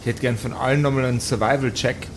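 A man narrating an improvised tabletop adventure as game master, with deliberate phrasing and dramatic pauses. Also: mid-range voice, steady tone, music in the background.

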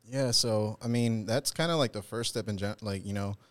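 A young man, a guest composer, talking casually and haltingly about his process. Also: on the low side. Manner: hesitant, halting, many restarts. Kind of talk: casual podcast interview.

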